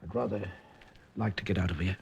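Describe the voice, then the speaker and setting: A man's voice: sits low, a little fast, gravelly, a little mellow, breathy edge, dull audio, full character voice. A British man playing a deferential village police constable reporting to his superior in a radio drama. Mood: subdued. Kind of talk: 1950s radio drama acting.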